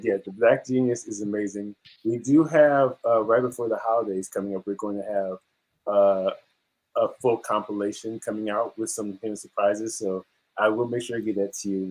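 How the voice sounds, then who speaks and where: Husky low-voiced man from Guyana. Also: steady tone, brisk, darkish tone, low-quality recording. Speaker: musician guest. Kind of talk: casual interview chat.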